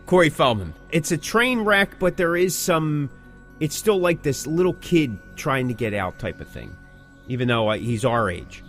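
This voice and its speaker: A man's voice: medium pitch, a bit bright. A middle-aged man hosting a podcast in casual conversation, opinionated and emphatic, repeating phrases for stress. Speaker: podcast host who interviews musicians.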